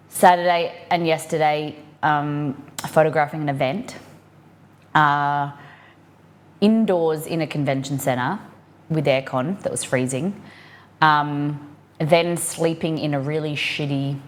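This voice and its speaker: Slightly low-voiced, croaky woman livestreamer chatting. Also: slow pace, pauses often. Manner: informal, expressive, rambling asides. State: croaky, dehydrated voice. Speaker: livestream host of a cooking show. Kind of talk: casual livestream chat to viewers.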